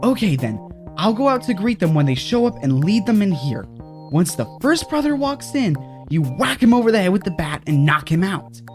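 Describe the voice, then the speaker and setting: A woman's voice: lowish voice, darkish tone. A woman voicing a scheming, sinister fixer who gives orders in a bossy, theatrical way in an audio horror comedy. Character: scheming, commanding, sinister fixer named Fred.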